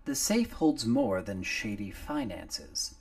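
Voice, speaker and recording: A man's voice: fairly low pitch, sing-song, takes it slowly, creaky, recorded from a distance, full character voice. A man voicing a cool, terse female killer who speaks in a plain, clipped way.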